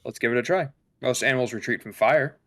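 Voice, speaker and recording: A man's voice: mid-range voice, quick pace, a bit bright, natural voice. A man playing a cool, pedantic science officer on a spaceship, precise and clinical in a scripted read. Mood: dismissive.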